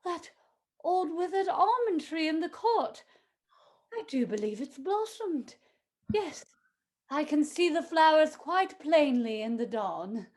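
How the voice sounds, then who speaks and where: A woman's voice: high-pitched, big pitch swings, slow pace, darkish tone, heavily acted. A woman playing a deferential, soothing housekeeper in a radio play, in a theatrical manner. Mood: amazed.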